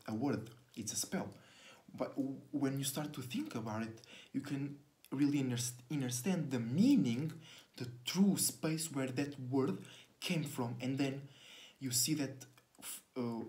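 A man's voice: medium pitch, unhurried, warm tone. A man in his twenties, a native Portuguese speaker, musing earnestly on camera in a small bedroom, restarting words often. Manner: earnest and searching, many restarts, gestures widely with both hands. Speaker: astrology vlogger. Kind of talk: casual spiritual monologue to camera. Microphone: laptop webcam close up.

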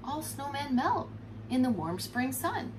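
A woman's voice: high voice, very animated, measured pace, far from the mic, noisy background, pitched above the performer's own voice. A woman voicing a friendly, cheerful male snowman in a children's storybook, in a jolly sing-song manner. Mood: gentle.

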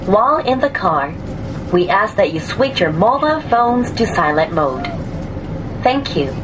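Woman's recorded train announcement, really slow and lilting, train noise behind. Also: mid-range voice, sing-song. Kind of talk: automated onboard announcement. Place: inside a train.

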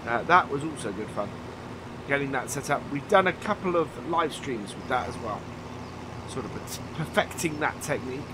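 Man, slightly nasal, coming down with a cold, relaxed gameplay chat, clear vehicle noise behind. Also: medium pitch, moderate pace. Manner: relaxed, chatty, thinks aloud with many fillers. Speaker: let's play host. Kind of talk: casual gameplay commentary.

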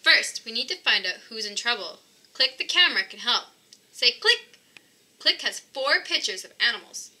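Woman as boy rescue hero for a picture book: eager, exclamatory, high and forceful. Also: sing-song, moderate pace, heavily acted. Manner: exclamatory, calling out to the listener. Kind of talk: children's storybook read-aloud.

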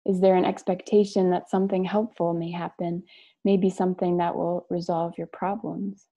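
A woman leading a guided meditation, speaking and reading aloud in a gentle, measured way with long pauses between short phrases. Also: on the low side, level tone. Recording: dull lo-fi online call audio.

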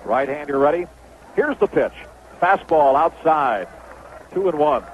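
A man's voice: on the high side, expressive, a little slow. American guy doing 70s radio baseball play-by-play, bit of noise, muffled old broadcast. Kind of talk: live 1970s radio baseball commentary.

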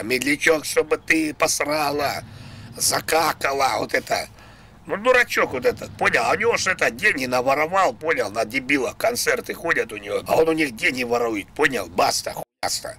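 A man's voice: mid-range voice, bright, forceful tone, a little hiss or hum. A man livestreaming in a coarse, mocking and belligerent way, ranting with constant swearing. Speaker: livestreamer making prank calls.

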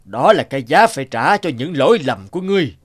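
A old cartoon sorcerer, theatrical and stern, with wide swings in pitch. His comes through in a close, dry recording. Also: very animated, dry recording. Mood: menacing, stern. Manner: slow, theatrical, pronouncing. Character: stern old sorcerer, sly, menacing and condemning. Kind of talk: cartoon fairy-tale dubbing.

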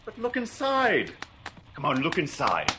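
An actor playing a wry, teasing gentleman detective in a radio drama, with a coaxing, theatrical delivery. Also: fairly high pitch, huge pitch swings, unhurried, background noise. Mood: coaxing, intrigued.

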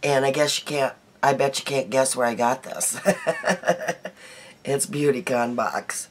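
A middle-aged woman vlogging her daily makeup in a small bedroom, chatty and homey, her voice hoarse from a cold. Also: extremely low pitch, a little slow, a little hiss or hum. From United States. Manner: chatty, homey, laughs easily, trails off. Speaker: beauty vlogger. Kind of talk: casual daily makeup chat to camera.

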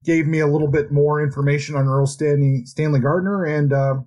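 A man's voice: fairly high pitch, fairly even intonation, brisk, darkish tone. A man co-hosting a podcast, casually discussing books and thinking aloud in tentative phrases. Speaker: podcast co-host.

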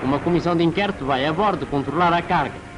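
A man's voice: a little high, quick pace, noisy background. A man reading scripted 1940s wartime newsreel narration in a formal, declamatory announcer style, on an old film soundtrack.

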